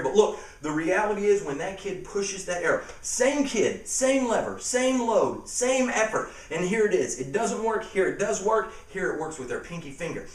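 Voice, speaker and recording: A man's voice: high voice, reverberant, far from the mic, poor audio. A middle-aged man talking casually and emphatically about his school assembly show, repeating key phrases for effect. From Texas. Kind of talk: casual promotional talk to camera.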